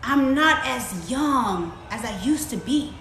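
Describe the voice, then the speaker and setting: A woman playing a sly old storybook fox who coaxes slowly and pretends to be hard of hearing. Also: high voice, lively intonation, fairly bright tone, echoey room, slight background noise, exaggerated voice. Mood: sly, coaxing.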